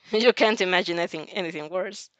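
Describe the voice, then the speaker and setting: A woman's voice: on the low side, brisk, a bit bright, no echo, dull audio. A woman reading a fan story aloud informally, giggly and stumbling over lines as she reacts to it. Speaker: narrator and reactor of fan fiction.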